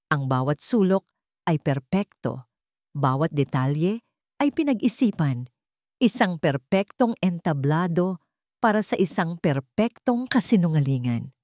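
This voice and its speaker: A Filipino woman narrates a dramatic story in a fairly low voice. Her pitch moves in lively swings as she delivers the script in measured phrases with pauses. The sound is dry and close, with little room in it. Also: no room sound.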